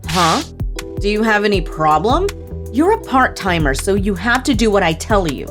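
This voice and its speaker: A woman's voice: medium pitch, very animated, moderate pace, music in the background, natural voice. A woman voicing a condescending, pushy young female designer, a spoiled and scheming office bully in a manga dub. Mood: bossy. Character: haughty, bossy, scheming female designer, spoiled banker's daughter.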